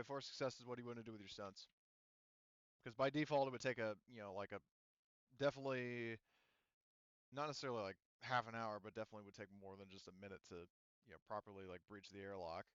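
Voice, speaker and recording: A man talking casually as game master at a tabletop game, in a rambling, halting way full of filler phrases. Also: lowish voice, steady tone, a bit bright, smooth, dry recording, highs cut off.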